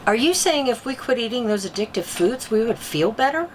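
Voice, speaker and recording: A woman's voice: fairly high pitch, lively intonation, fairly quick, slight background noise, lightly acted. A woman playing a concerned, curious mother who interviews an expert in a scripted drama, wide-eyed and sympathetic. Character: concerned, curious mother seeking diet advice. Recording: clear music bed behind the voice.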